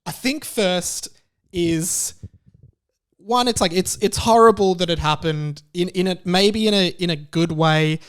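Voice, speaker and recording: A man's voice: high voice, a little slow, clear, resonant tone. A man hosting a podcast, giving casual opinion commentary in a conversational, rambling and emphatic way. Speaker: podcast host.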